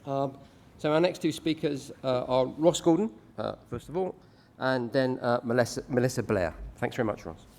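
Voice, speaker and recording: A man chairs an academic panel, briskly moving things along and introducing the next speakers with small pauses. His voice sits at a typical middle pitch. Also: gravelly, darkish tone, a little hiss or hum. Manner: brisk, with "uh" between names.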